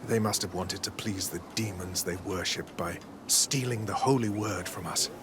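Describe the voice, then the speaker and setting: An actor playing a zealous, smooth-talking priest in a video game, formal, preachy and condescending. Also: male voice, low voice, moderate pace, background noise, lightly acted. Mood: disdainful. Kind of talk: scripted video game dialogue.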